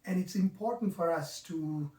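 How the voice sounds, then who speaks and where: A man in his sixties with a Sri Lankan accent gives a reflective, explanatory talk in an earnest, halting manner, stressing key words. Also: sits high, darkish tone. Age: 60s. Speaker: mindfulness teacher. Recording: roomy, echoing, distant microphone.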